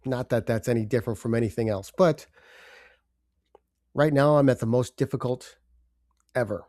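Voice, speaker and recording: An older man hosting a personal podcast, congested from a cold, speaking in a halting, subdued way with pauses and fillers. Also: medium pitch, dark tone, dry recording, studio quality. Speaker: podcast host. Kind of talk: personal confessional monologue.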